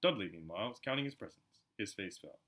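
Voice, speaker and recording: A man reading aloud as an amateur narrator, expressive and storybook-like, with a chatty side. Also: fairly low pitch, fast. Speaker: amateur audiobook reader.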